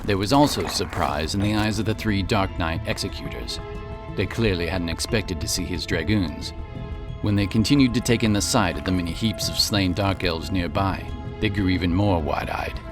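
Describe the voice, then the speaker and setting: Deep-voiced male fantasy narrator. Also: normal speed, music in the background. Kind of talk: scripted narration of a fantasy audio drama.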